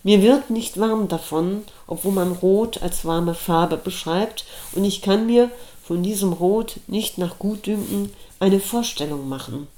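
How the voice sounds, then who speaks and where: A middle-aged woman reading her own reflective personal essay aloud, in a slow, measured and thoughtful way. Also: medium pitch, dark tone. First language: German. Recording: roomy echo.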